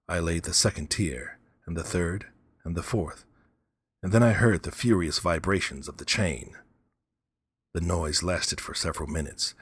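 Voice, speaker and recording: Gothic storybook villain, voiced by a man: low, husky, measured and coldly menacing. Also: no echo, clean studio sound. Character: cold, vengeful male murderer narrating his crime. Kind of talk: dramatic gothic first-person narration.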